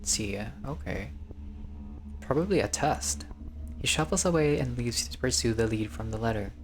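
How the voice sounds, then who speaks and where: A man's voice: mid-range voice, expressive, normal speed, slight background noise. A man narrating a visual novel playthrough, reading the text aloud and adding casual commentary as a gamer.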